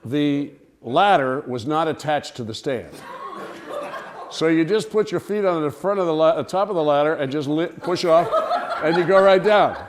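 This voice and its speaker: A man telling a humorous personal anecdote to listeners in an animated, theatrical way. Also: on the high side, normal speed, background noise. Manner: animated, theatrical storytelling with acted-out cries and dialogue. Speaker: storyteller, speaker to an audience.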